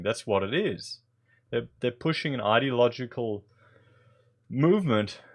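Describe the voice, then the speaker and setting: A man in his thirties whose first language is German, reading a news article aloud and breaking in with sarcastic, exasperated commentary. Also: mid-range voice. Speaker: political commentator.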